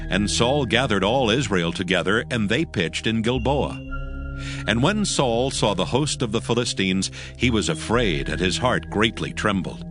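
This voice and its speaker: A man's voice: fairly low pitch, lively intonation, normal speed. A man narrating scripture from a script in a solemn style. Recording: music bed behind the voice.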